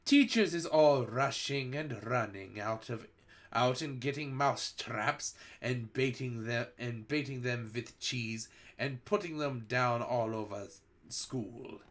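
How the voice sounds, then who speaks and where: A reader putting on the voice of a cruel, gloating old witch queen who shouts and chants a singsong rhyme in a storybook read-aloud. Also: medium pitch, slow, natural voice.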